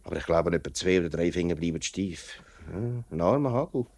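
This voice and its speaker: Deep-voiced Swiss German working family man. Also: expressive, brisk, a little mellow. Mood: reflective. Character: plain-spoken, warm-hearted working-class family man and foreman. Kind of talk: 1950s Swiss radio play acting in dialect.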